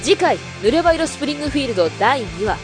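A woman narrates a next-episode preview in a dramatic, announcing way. Her voice is fairly high and sits over clear background music. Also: big pitch swings, fast. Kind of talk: scripted trailer narration.

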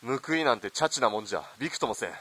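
A haughty army officer, acted by a man, in a period radio drama, with a mid-pitched voice. He speaks bluntly and commandingly, callous and corrupt to the core. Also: animated, rapid-fire, bright, forceful tone, husky. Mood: contemptuous, angry. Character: arrogant, corrupt army lieutenant colonel, callous.

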